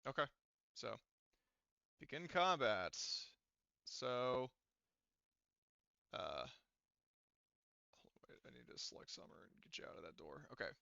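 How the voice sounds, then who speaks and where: A man's voice: mid-range voice, very animated, measured pace, gravelly, long pauses, sharp tone, no room sound, muffled recording. A man acting as game master in a casual tabletop game, narrating and working out rules aloud in an informal, sweary way. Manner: informal, profane.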